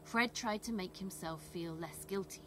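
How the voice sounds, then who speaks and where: A woman reading a children's story aloud expressively, with measured, dramatic phrasing and emphasis. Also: lowish voice, noisy background. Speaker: storybook reader.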